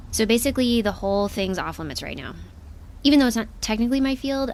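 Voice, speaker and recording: A woman's voice: on the low side, slight background noise, lightly acted. Wry, blunt spaceship crewwoman played by an actress. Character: brisk, wry, blunt female spaceship crew member. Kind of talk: science fiction audio drama.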